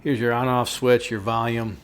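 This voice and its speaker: A man's voice: mid-range voice, little pitch movement, normal speed, clean tone. A middle-aged American man chatting casually through a product review in a folksy, jokey, storytelling way. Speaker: host of a product review video.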